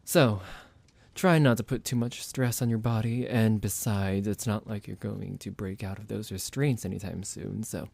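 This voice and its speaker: A man's voice: lowish voice, steady tone, normal speed, vocal fry, darkish tone, no echo. A man playing a psychotic vampire doctor in an ASMR roleplay, condescending and playful toward a captive, with mock-polite, hesitant, musing delivery. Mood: mock-polite, menacing.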